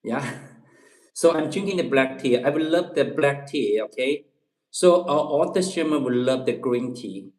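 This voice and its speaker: A man's voice: medium pitch, measured pace, darkish tone. Chinese-accented man chatting, echoey room. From China. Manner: repeats phrases, tags lines with "okay?". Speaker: livestream host. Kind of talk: casual livestream chat with viewers.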